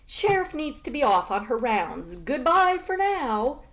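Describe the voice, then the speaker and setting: A woman's voice: high-pitched, sing-song, a little slow, voice pushed up, full character voice. A woman voicing an aloof, self-important female cat with a curt, haughty manner in a storybook reading. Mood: aloof, smug.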